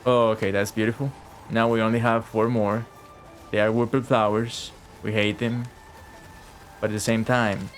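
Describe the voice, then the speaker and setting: A man giving casual live commentary as a game streamer, reacting out loud and swearing freely. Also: fairly low pitch, level tone, moderate pace. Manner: profane, reactive, talks to game and viewers. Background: game music.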